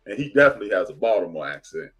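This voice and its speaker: A man's voice: fairly low pitch, racing, breathy edge, soft background music. A man from the Chicago area, casual podcast co-host, talking animatedly and trailing off when searching for a word. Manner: animated, loud bursts when excited, trails off searching for words. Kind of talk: casual chat.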